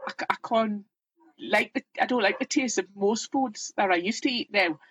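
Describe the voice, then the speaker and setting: Chatty Geordie woman, husky and close. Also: medium pitch, normal speed, fairly bright tone, no echo, low-quality recording. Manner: chatty, self-deprecating, plain-spoken. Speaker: guest. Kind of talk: candid personal storytelling.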